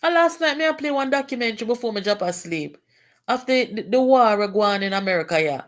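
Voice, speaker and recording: A woman's voice: fairly high pitch, expressive, brisk. A woman from Jamaica with a Jamaican accent, reading a political letter aloud and breaking into Patois to comment on it. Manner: switches to Patois, exclamatory, rising indignation.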